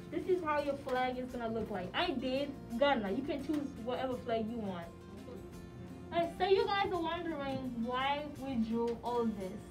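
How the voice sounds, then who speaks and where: A young woman in a small classroom, giving children clear step-by-step craft directions. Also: high voice. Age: young adult. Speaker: student teacher leading a craft activity. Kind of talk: instructing children. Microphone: far camera. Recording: distant mic with music behind.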